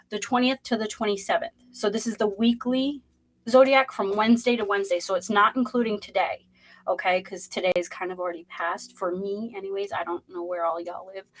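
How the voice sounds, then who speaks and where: A woman's voice: medium pitch, rough recording. A woman with a Boston accent hosting a zodiac reading livestream, chatting loosely with many fillers and greeting viewers in the chat. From Boston, USA. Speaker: tarot reader hosting a livestream.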